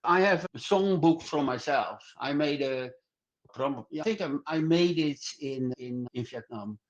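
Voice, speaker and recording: Older male podcast guest, higher-set voice, halting and repetitive delivery, muffled low-fidelity sound. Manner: halting, repetitive non-native English. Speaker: podcast guest, club singer. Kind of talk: casual interview answers.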